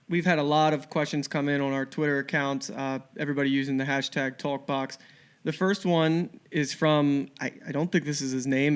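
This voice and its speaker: Clear-voiced male podcast co-host. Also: on the high side. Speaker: podcast co-host reading listener questions. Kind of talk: conversational reading of questions.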